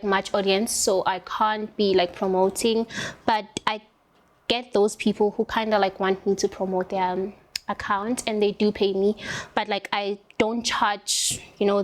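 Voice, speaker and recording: A young South African woman talks in a loose, rambling way, with lots of fillers and stretched words. She chats as she applies her makeup, her voice sitting at an ordinary middle pitch. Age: young adult. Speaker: interviewee doing makeup. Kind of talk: casual chat while applying makeup.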